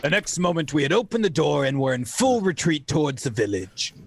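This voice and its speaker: A man playing a boy adventurer who narrates his tale in a radio play with deliberate, dramatic suspense. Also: fairly high pitch, very animated, vocal fry, a little hiss or hum. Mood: grave.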